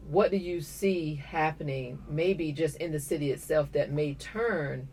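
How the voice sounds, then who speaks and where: A woman in her thirties interviewing a candidate, chatty, with quick affirmations. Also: deep voice, darkish tone, recorded from a distance, soft background music. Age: 30s. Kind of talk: casual interview.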